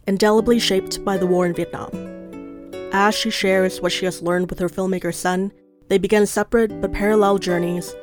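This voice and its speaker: A woman who is a producer filling in as host, reading measured scripted narration. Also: medium pitch. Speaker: associate producer, stand-in host.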